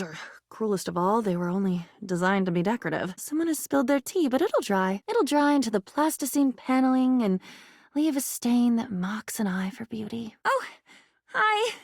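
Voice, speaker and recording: High pitched dreamy girlish game character, swoops up and down, earnest n whimsical, kinda flowery and theatrical. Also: no echo, clean studio sound. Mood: wistful, melancholy. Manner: whimsical, theatrical, formal flowery diction mixed with chatty asides. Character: female living diary, young, earnest, dreamy, newly self-aware. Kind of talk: video game character voice acting.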